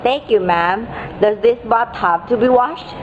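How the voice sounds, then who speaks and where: A woman's voice: a little high, unhurried, natural voice. A woman playing a restless, bookish young male college student in an amateur radio play, speaking plainly and earnestly. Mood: friendly, helpful. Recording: dull lo-fi audio, noisy background.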